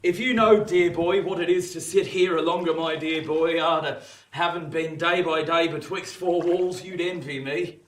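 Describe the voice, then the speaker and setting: Old fugitive convict played by a man, period radio drama. Raised pitch, homely and fond, echoing space. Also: steady tone, normal speed, lightly acted. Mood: fond, wistful. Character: rough, affectionate old male fugitive convict, wistful. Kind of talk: radio play acting.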